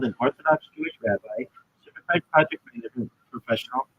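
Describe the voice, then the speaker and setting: Gravelly, husky man reading a self-introduction. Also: on the high side, lo-fi sound. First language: not Hebrew. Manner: measured, list-like delivery with occasional restarts. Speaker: rabbi and livestreamer.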